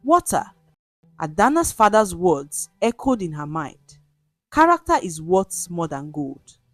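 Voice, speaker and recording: Female folktale narrator, dramatic and measured, lower register, close dry recording. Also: big pitch swings, dry recording, faint music behind. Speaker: storyteller.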